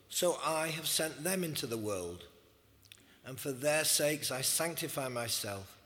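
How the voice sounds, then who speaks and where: A man preaching a sermon in a church, slightly hoarse, in a measured style with scripture readings. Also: mid-range voice, a bit bright. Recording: long hall reverb.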